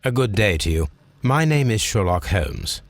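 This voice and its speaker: An actor playing a composed, probing English detective in a video game, with a formal, measured, politely clipped manner. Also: male voice, fairly low pitch, sing-song, a little hiss or hum. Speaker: detective questioning suspects. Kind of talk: scripted video game acting.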